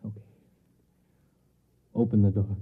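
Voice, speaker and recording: A man's voice: lowish voice, little pitch movement, unhurried, breathy edge. An actor playing an anxious, conspiracy-obsessed man urgently confiding in a friend, hesitant and dramatic in 1950s radio drama style. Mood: hushed, tense. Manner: hesitant, repeating words, dramatic. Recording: muffled old radio recording.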